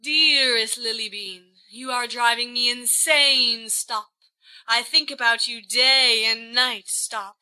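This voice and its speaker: Female reader as lovestruck young man: very bright, highish voice, theatrical, cheeky, gushing through a dramatised love letter. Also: fairly even intonation, slow pace, heavily acted, poor audio. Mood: melodramatic, adoring. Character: flamboyant lovesick young man writing to his sweetheart.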